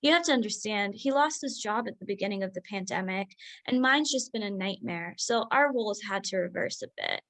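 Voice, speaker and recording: A woman playing an overworked, proud doctor mother in a staged drama reading, brisk, insistent and defensive. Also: medium pitch, animated, a bit bright.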